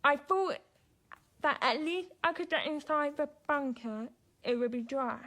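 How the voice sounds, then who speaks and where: High-pitched, halting teenage girl. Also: sharp tone. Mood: shaken, recounting. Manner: halting, insistent. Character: frightened, insistent deaf teenage girl witness. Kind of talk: naturalistic radio drama acting.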